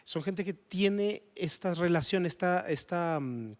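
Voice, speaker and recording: Fairly high-voiced man moderating, halting delivery. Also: animated. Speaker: moderator of a talk. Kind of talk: informal interview.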